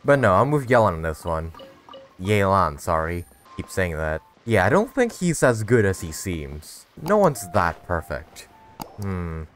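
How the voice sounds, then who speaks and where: Low-voiced, lively man doing game commentary. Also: measured pace, soft background music. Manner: slangy, expressive, mock-incredulous. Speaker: game streamer reacting to story. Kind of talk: casual playthrough commentary.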